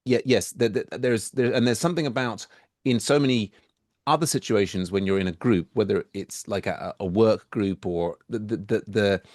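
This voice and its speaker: A man hosting a podcast in casual chat, halting and restarting often, wandering into playful tangents. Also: fairly low pitch, darkish tone, no echo, clean studio sound. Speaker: podcast host.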